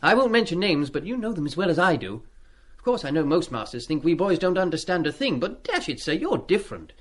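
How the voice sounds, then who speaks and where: Chatty British schoolboy, animated and high. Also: male voice, a little fast. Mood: frank, earnest. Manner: chatty, deferential with schoolboy slang. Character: eager, cheeky schoolboy in his mid-teens, indiscreet but polite. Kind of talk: radio play acting.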